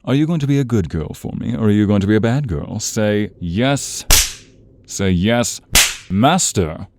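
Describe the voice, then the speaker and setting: A man voicing a seductive, domineering vampire in an ASMR roleplay, teasing and commanding in a breathy voice that often drops to a whisper. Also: fairly low pitch, animated, a little slow, a little mellow, slight background noise. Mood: stern, commanding. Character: sinister, seductive male vampire, domineering and predatory master. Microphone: close, dry studio microphone.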